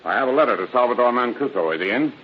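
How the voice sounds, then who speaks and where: An actor playing a cool American federal agent posing as a buyer, brisk, confident and slangy, in an old radio drama. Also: male voice, medium pitch, expressive, natural voice. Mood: casual, businesslike. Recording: muffled old radio recording with hiss.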